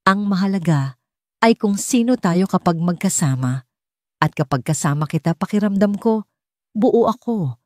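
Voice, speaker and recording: An earnest, vulnerable Filipino man in an audiobook romance, read by a woman, speaks softly and tenderly. The sound is dry and close. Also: medium pitch, expressive, normal speed, pauses often, dry recording, natural voice. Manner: soft, hesitant, tender. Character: earnest, vulnerable wealthy man confessing love.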